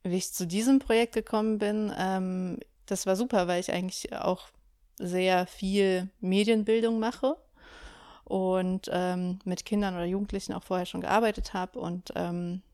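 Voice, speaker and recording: A woman from Ukraine, a documentary filmmaker, answering interview questions in a relaxed way with many hesitations. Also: medium pitch, unhurried, no room sound, studio quality. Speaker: documentary filmmaker, workshop leader.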